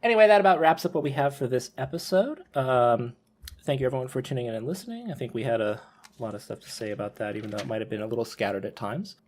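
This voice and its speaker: A man with a medium-pitched voice hosts a podcast. He talks in a casual, conversational way, moving between topics as a host does. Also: animated, fast talker. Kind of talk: casual podcast chat about visual novels.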